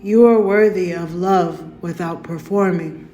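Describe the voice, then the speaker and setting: A woman guides a meditation at a very slow, soothing pace, her voice mellow and warm. The sound is roomy and echoey, with faint soft music behind her. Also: on the low side, muffled recording. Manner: gentle, soothing and unhurried, with soft invitations.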